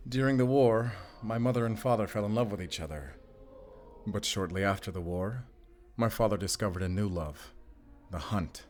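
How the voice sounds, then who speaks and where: Male actor as brooding monster hunter, low-pitched, terse, guarded, solemn, cinematic audio drama. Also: normal speed, a little mellow, close to an everyday voice. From Germany. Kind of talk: cinematic audio roleplay acting.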